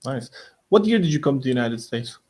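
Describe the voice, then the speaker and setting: A man's voice: medium pitch, expressive, fast, darkish tone, slightly rough. A man, hosting a chatty, informal interview. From Bosnia. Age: 30s. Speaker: interview host. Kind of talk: casual conversational interview.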